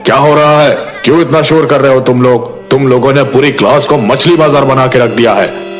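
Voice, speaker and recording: Casting: strict male schoolteacher voiced by an actor, mid-pitched, storybook cartoon with faint music behind. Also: brisk, lightly acted. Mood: stern, scolding. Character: stern male schoolteacher scolding a noisy class. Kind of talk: Hindi moral story dialogue.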